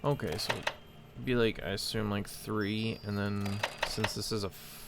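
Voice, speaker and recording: Creaky, low-voiced man thinking aloud. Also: level tone, unhurried, noisy background. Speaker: game streamer. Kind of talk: casual let's play commentary. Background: game music.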